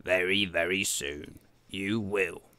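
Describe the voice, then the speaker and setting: A man voicing a calm, assured, bug-eyed grasshopper from a children's storybook, in a measured manner. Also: deep voice, dry recording, full character voice.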